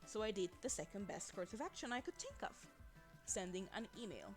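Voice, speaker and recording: A woman's voice: mid-range voice, very animated, a little fast. Young woman streamer chatting over faint music. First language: Spanish. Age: young adult. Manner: chatty, rambling, laughs often. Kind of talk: casual livestream chat. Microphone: desk microphone.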